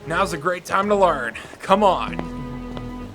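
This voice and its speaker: Man playing a cocky amateur detective, highish voice. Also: lively intonation, slow, music in the background, full character voice. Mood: breezy persuasion. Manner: self-assured, theatrical, pun-loving. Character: cocky, dogged young male amateur detective. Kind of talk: audio drama acting.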